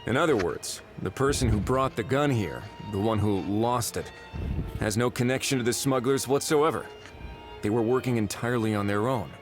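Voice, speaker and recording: A man playing a calm, courteous, analytical investigator in an anime game, measured and articulate. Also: medium pitch, lightly acted. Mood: composed. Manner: measured, articulate, reasoning aloud. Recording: game music behind the voice.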